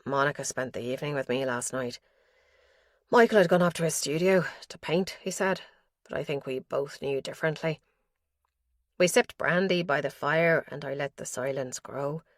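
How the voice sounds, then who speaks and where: A woman narrator gives voice to an elderly father confiding in his diary, his anxious, guilt-ridden words coming out low and plain. The recording is dry and close, with no room around it. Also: moderate pace, dry recording, lightly acted.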